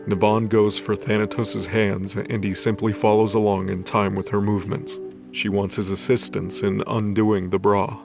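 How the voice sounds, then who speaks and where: A man narrates a scripted story in a lowish voice. His delivery stays flat and even, with music clearly playing underneath.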